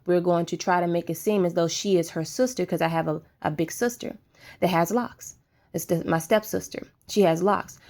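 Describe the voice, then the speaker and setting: This is a woman talking through a spiritual testimony in a casual, unscripted monologue. Her voice sits a little low for a woman, and she keeps pressing her points with an insistent, earnest tone. She often ends a thought with a quick "right?" to the listener. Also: a little mellow. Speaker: vlogger sharing spiritual testimony.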